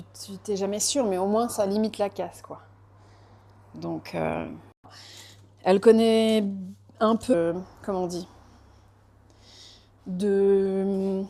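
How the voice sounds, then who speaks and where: A woman's voice: medium pitch, darkish tone. Chatty, hesitant French woman baker. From France. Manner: chatty, hesitant, many fillers and restarts. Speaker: pastry baker vlogger. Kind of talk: casual chat while baking. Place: kitchen workshop.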